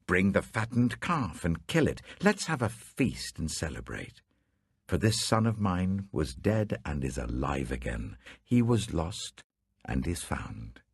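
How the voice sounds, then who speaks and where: British guy as a loving old dad in a bible tale, heartfelt, gravelly, pitch swooping around. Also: very animated, a little slow, no room sound, clean studio sound, full character voice. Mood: joyful, urgent. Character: loving, forgiving old father, tender and joyful.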